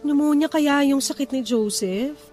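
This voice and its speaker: Female, Filipino accent, playing a worried new mom, fairly high voice, radio drama over faint music. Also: moderate pace, mellow, natural voice. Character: anxious young first-time mother, soft-hearted wife.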